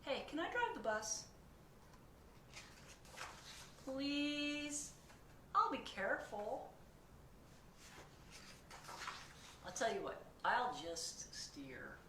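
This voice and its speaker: A woman voicing an excitable, whiny male pigeon who begs and pleads melodramatically in a storybook read-aloud. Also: a little high, pitched above the performer's own voice. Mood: pleading. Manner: wheedling, begging, melodramatic. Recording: echoey room, distant mic, faint noise.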